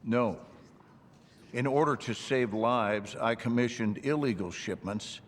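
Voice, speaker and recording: A man playing a gruff, defiant army general in a military game cutscene, speaking in a clipped, commanding way. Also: mid-range voice, unhurried, slight background noise, close to an everyday voice. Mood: solemn. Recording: game cutscene audio with loud music.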